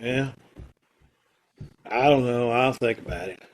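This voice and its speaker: A man's voice: mid-range voice, slow pace, close to an everyday voice. A man acting a stubborn, unrepentant condemned murderer in an old-time radio play, plain-spoken and stoic. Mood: evasive, stubborn. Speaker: amateur radio-troupe actor.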